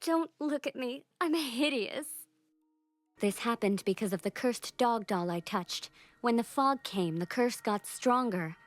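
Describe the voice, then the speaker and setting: A woman's voice: on the high side, expressive, measured pace, faint music behind. An actress playing an anguished young woman fighting a curse in a fantasy game. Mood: ashamed, troubled. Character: anguished cursed sister fighting dark magic. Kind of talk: fantasy game cutscene acting.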